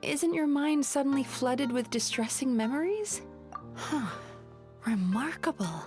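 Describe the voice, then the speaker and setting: A gentle dream-eating spirit girl. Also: high voice, lively intonation, measured pace, over music. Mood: apologetic. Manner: soft-spoken, polite and apologetic. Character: gentle young female dream-eating yokai. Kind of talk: video game voice acting.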